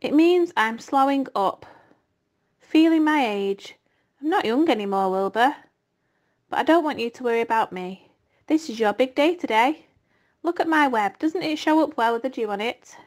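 A woman voicing a kind female spider who is tired and feeling her age, with a soft, calm, reassuring manner. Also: sits high, normal speed. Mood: gentle weary. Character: gentle, aging female spider, weary and kind.

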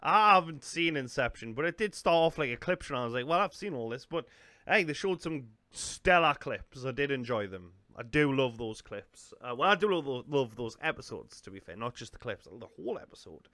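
A man's voice: medium pitch, expressive, a little fast, bright, forceful tone, dry recording. A man in his thirties with a Geordie accent, giving casual off-the-cuff reaction commentary into a close microphone. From North East England. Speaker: reaction video host. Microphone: large close studio microphone.

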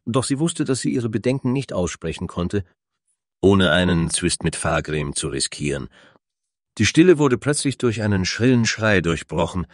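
Low-voiced male fantasy narrator. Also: dry recording, studio quality. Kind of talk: scripted fantasy story narration.

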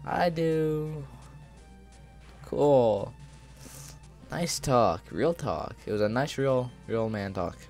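A man chats casually as he plays a video game. His voice is on the low side and he takes his time, drifting along at a very slow pace. He is goofy and jokey, often circling back to repeat his own phrases, with faint game music playing behind him. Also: expressive, a little mellow. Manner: goofy, joking, repeats himself. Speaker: gaming video creator. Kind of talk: casual rambling Let's Play commentary.

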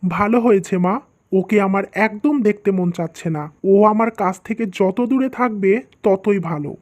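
A woman's voice: mid-range voice, measured pace, a little mellow, natural voice. A woman voicing a stern, easily hurt young husband and son in a cartoon drama. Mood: calm. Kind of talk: dubbed cartoon drama dialogue.

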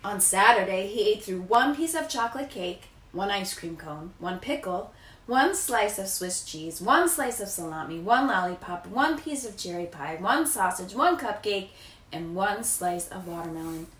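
A woman's voice: mid-range voice, expressive, normal speed, fairly bright tone. An American woman in her thirties reading a children's picture book aloud. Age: 30s. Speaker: teacher. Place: living room, indoors. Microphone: camera a few feet away. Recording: distant echoey room, faint noise.

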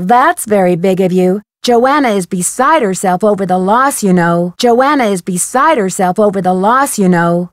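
A young woman playing an inquisitive, polite, persistent amateur detective in a video game, with a crisp, questioning delivery. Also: a little high, no room sound, studio quality. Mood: skeptical. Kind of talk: video game dialogue acting.

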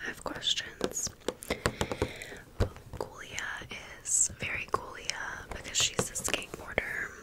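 A woman speaks in a breathy whisper, rambling casually with playful dry asides as she presents items in an ASMR show-and-tell. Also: medium pitch. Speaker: ASMR content creator presenting toys.

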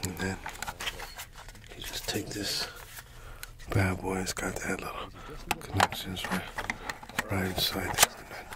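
A man with a slightly low voice speaks very slowly in a soft ASMR roleplay style, slipping into whispers. He talks haltingly, like one friend murmuring to another. The recording has clear background noise. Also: monotone. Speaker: ASMR creator.

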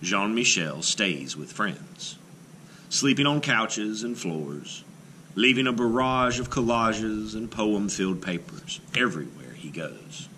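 Animated low-voiced man reading a storybook. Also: slow, a little hiss or hum. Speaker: art teacher reading a picture book aloud. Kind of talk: expressive children's picture book read-aloud.

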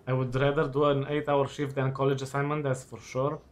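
A man in his twenties chatting casually while playing a game, relaxed and rambling with lots of fillers and restarts. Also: medium pitch, brisk. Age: 20s. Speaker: student gaming while chatting. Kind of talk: casual chat over gameplay.

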